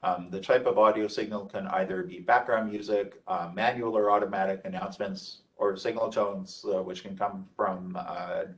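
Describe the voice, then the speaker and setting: Low-voiced man giving halting technical training, slightly distant. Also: fairly even intonation, a bit bright. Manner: halting, hesitant delivery with frequent pauses. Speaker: trainer presenting product training. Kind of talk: informal technical lecture over slides.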